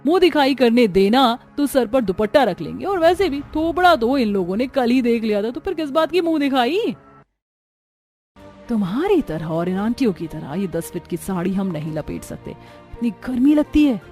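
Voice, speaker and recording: A woman acts out a defiant young daughter-in-law from the city. Her voice is high and disdainful, in a cartoon family drama with faint music behind it. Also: big pitch swings, normal speed, a little mellow.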